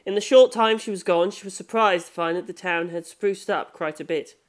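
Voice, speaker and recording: A narrator reading a novel aloud in a measured, scripted storytelling manner. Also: medium pitch.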